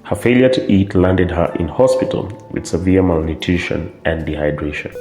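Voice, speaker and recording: Very deep, echoey male narrator. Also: expressive, moderate pace, darkish tone, faint music behind. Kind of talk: scripted drama narration. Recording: echoey, roomy sound.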